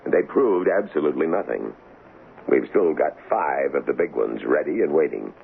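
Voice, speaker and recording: Gravelly, very deep-voiced political fixer. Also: male voice, animated, moderate pace, exaggerated voice. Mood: wry, persuasive. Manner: glib, wheedling salesman's patter, wry. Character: shrewd, folksy male political kingmaker, cynical and pushy. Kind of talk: old-time radio drama acting. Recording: muffled, noisy old radio broadcast.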